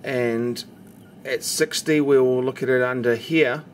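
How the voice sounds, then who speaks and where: A man's voice: medium pitch, slow. Man narrating a tutorial over noise. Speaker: knife maker presenting a tutorial. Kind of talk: casual instructional narration. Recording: steady background noise, homemade recording. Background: noticeable background noise.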